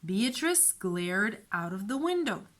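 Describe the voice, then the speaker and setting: A woman storyteller narrating a children's storybook in an expressive, deliberate way. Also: mid-range voice.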